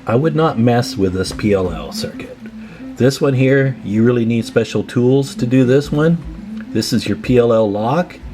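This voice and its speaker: A man's voice: medium pitch, moderate pace, music in the background. A man presenting a hands-on repair tutorial in a casual, plain-spoken, advising way.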